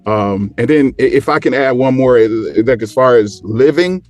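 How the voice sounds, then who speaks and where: Man, casual group chat, informal halting delivery, music behind. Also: mid-range voice, lively intonation, a little mellow. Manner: informal, halting and searching when thinking. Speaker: show guest. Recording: background music under the voice.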